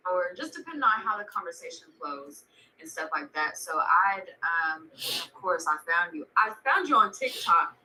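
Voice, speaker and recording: A woman's voice: on the low side, very animated, normal speed, pushed and bright, poor audio. A young woman hosting a podcast, chatting casually with her guest and using lots of "like" and "um". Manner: chatty, full of fillers like "like" and "um". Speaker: podcast host. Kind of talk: casual interview.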